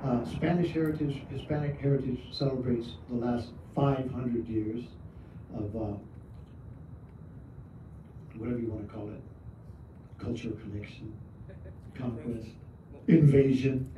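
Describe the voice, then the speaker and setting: A man's voice: mid-range voice, steady tone, a little slow, long pauses. Hesitant man speaking outdoors, distant. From Arizona, USA. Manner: hesitant, many "uh" fillers, reflective. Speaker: storyteller and mask artist. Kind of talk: live outdoor talk to a small audience. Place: outdoors at a museum park at night. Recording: echoey, distant, dull mic with noise.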